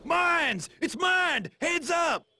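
An actor playing an urgent paratrooper officer barking clipped orders in a war video game. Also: male voice, very high voice, lively intonation, very slow, slight background noise, heavily acted. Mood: alarmed. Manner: shouting, clipped commands. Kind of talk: video game cutscene acting.

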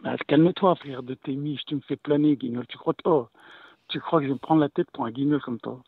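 A man's voice: medium pitch, brisk, breathy edge, dry recording. A man on a phone call, aggressive and threatening, cursing and swearing heavily. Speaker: seller pranked on the phone. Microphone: heard over a phone call. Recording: dull lo-fi phone line.

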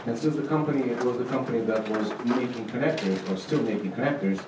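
A man's voice: medium pitch, quick pace. Male presenter, middle-aged, dry and informal delivery, echoey and distant from the mic. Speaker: conference presenter, state agency manager. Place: auditorium stage. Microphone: handheld microphone, recorded from far camera. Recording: echoey hall, distant, noisy room sound.

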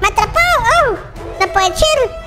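Baby boy game character, extremely high childish voice, swooping intonation, dramatic, repetitive, prominent children's music behind. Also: lively intonation, slow. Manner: childish, exclamatory, repeats words, addresses viewers as friends. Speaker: gaming video host. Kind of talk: excitable children's Minecraft roleplay commentary. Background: loud playful children's music.

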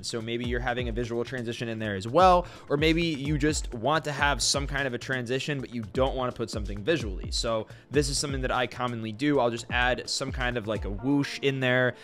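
A man's voice: mid-range voice, a little fast, few pauses, fairly bright tone, faint music behind. A young man with a stuffy, nasal voice, hosting his channel and explaining video editing in a chatty way that leans on filler phrases. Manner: chatty, leans on "sort of" and "you know". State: stuffy, congested nose. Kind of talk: casual tutorial explanation.

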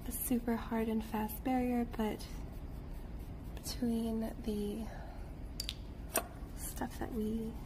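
A young woman speaking softly as she narrates an ASMR drawing tutorial as an illustrator. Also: a little high. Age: young adult. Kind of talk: soft-spoken ASMR art tutorial. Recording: noisy, with paper and pencil sounds. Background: noise, writing and paper sounds.

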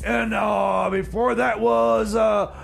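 A man's voice: high-pitched, level tone, takes it slowly, creaky, slight background noise, exaggerated voice. A man playing a blustery, stammering radio deejay who gets flustered and exasperated, in comedy radio banter. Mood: excited, blustery. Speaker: radio host. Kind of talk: comedy radio theater, music show banter.

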